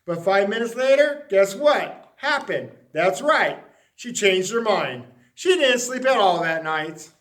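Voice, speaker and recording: A man with a high voice narrates a children's storybook in an echoey room. He reads expressively, stretching out key words for dramatic emphasis. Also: expressive, takes it slowly, a bit bright. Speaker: story reader.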